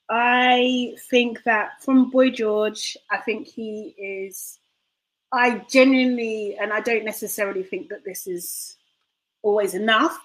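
A woman's voice: on the high side, poor audio. Hesitant woman weighing her words. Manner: thoughtful, hesitant, many fillers like "like" and "you know". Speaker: podcast co-host. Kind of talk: casual discussion.

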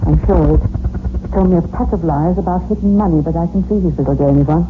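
An actress playing a composed, dutiful, calculating secret agent in a formal, earnest 1960s radio suspense style. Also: female voice, lowish voice, fast, close to an everyday voice. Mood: resolute. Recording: muffled old radio recording with music.

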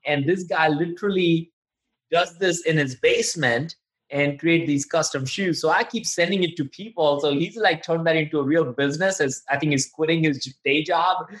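A man's voice: fairly high pitch, fairly bright tone, slightly rough. A man hosting a podcast interview, chatting informally and with enthusiasm. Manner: enthusiastic and informal, says "dude". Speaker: podcast host. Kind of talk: casual interview chat.

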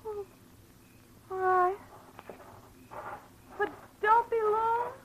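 Very high, childlike deranged woman. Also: fairly even intonation, extremely slow, exaggerated voice. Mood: dreamy, pleading. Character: childlike, clinging, deranged escaped female patient. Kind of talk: 1940s radio drama acting. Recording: dull old radio broadcast.

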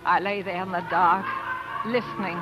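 An actress playing a spirited, proper young pioneer wife who also narrates an old-time radio drama, with genteel, emotive delivery. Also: female voice, fairly low pitch, fairly even intonation, normal speed, highs cut off, loud music behind the voice, natural voice. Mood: wistful, reminiscing.

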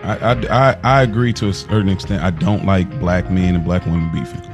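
A man's voice: sits low, fairly even intonation, fairly quick. American man debating casually, music behind. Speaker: podcast co-host. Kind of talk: casual opinionated discussion.

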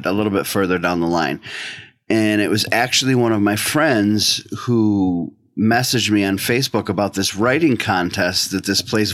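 A man's voice: fairly low pitch, fairly bright tone, no room sound. A middle-aged American man from the northeastern United States, talking casually as a writer being interviewed.

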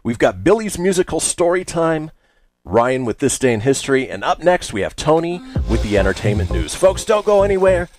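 A man's voice: on the high side, very animated, fairly quick, over music. A man hosting a radio show in an upbeat style, with emphatic announcing and conversational asides. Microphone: close studio radio mic.

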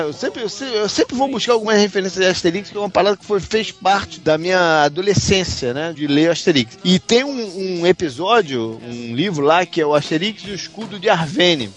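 A man's voice: sits high, lively intonation, normal speed, hardly any pauses, soft background music. A man with a Brazilian Portuguese accent chatting casually as a podcast panelist. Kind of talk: casual chat.

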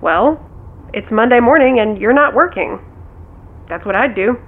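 An actress playing a teasing, dry-humored big sister in a naturalistic audio drama, heard through a phone filter. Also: female voice, on the high side, expressive, unhurried. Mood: teasing, wry. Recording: thin, band-limited phone line.

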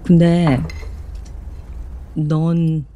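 A woman playing a doting, insistent middle-aged Korean mother in an audio drama, with a Korean accent and halting English mixed with Korean. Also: sits low, level tone, warm tone. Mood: nudging. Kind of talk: naturalistic fiction podcast acting.

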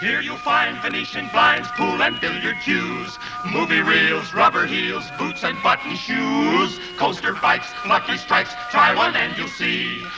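An actor playing an elevator operator who chants rhymed store lists like a 1940s radio jingle. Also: male voice, very high voice, big pitch swings, a little slow, few pauses, over loud music, full character voice, rough recording. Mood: cheery sing-song. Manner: sing-song rhymed patter, jingle-like. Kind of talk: 1940s radio singing commercial. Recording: old radio broadcast.

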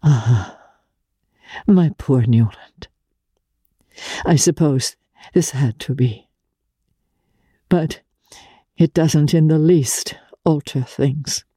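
Audiobook role: woman reader plays a dignified married lady giving up her lover, earnest and sorrowful, slow delivery, husky voice. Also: low-pitched, mellow, no echo, studio quality, full character voice. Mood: sad, resigned. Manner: measured, earnest, formal. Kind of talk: dramatic literary audiobook reading.

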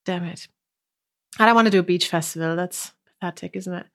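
A woman's voice: on the low side, brisk, a bit bright. Sniffly woman chatting and laughing. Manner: chatty, laughs often, trails off mid-sentence. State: sniffly with a cold. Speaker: podcast co-host. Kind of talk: casual chat.

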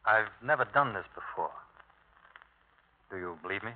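An actor playing a tough, loyal grown son in a 1950s radio drama, terse and sardonic, with an American accent. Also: male voice, on the low side, expressive, normal speed, lightly acted. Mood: quietly pressing. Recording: tinny, noisy old radio broadcast.

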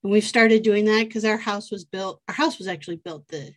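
Relaxed woman host in her forties. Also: medium pitch, a little fast. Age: 40s. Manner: relaxed, conversational, frequent fillers. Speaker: podcast host. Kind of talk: casual interview chat.

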